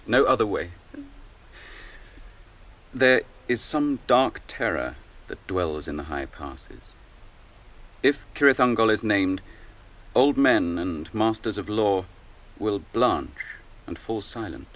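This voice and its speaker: An actor playing a stern noble captain of men in a radio drama, grave, measured and authoritative. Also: male voice, on the low side, expressive, fairly bright tone.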